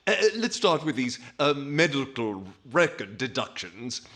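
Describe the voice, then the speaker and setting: A man playing a snarky, officious tax collector in 1940s radio comedy style, with smug, drawn-out phrasing. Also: medium pitch, animated, fairly bright tone. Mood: suspicious, snarky.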